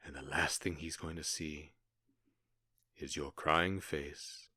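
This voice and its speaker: A man's voice: extremely low pitch, steady tone, sharp tone, husky, dry recording, clean studio sound, heavily acted. A man playing a menacing gang boss and gunslinger kidnapper in an ASMR roleplay, smug and taunting as he draws out his words. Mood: cold, threatening. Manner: smug, taunting, drawn-out storytelling.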